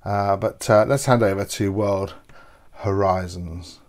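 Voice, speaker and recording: A middle-aged English man with a lowish voice gives church notices and leads a prayer from a small home office. He rambles, stopping often on "uh" and restarting his sentences, speaking close to a webcam. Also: fairly even intonation, measured pace, a little mellow. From England. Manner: rambling, many filler "uh"s and restarts. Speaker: church leader.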